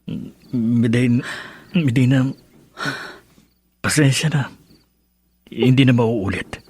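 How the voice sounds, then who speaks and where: An actor playing a sheepish, placating Filipino husband with a hesitant delivery in a radio drama. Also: male voice, mid-range voice, slow pace, a little mellow, breathy edge, no echo. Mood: apologetic, evasive.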